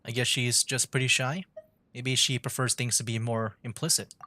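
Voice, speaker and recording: Guy streaming a game, chatty n offhand, medium pitch, dry room. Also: flat delivery, normal speed, pushed and bright, no room sound. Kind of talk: casual game livestream, reading dialogue aloud and commenting.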